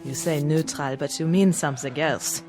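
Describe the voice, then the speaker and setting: A woman's voice: low-pitched, normal speed, natural voice. A woman playing a polite but fervently nationalistic German hotel maid, with a German accent and a pointed, insistent manner, in vintage television drama style. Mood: proud, pointed. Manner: deferential yet insistent, pointed. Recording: old TV soundtrack with music. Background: dramatic music.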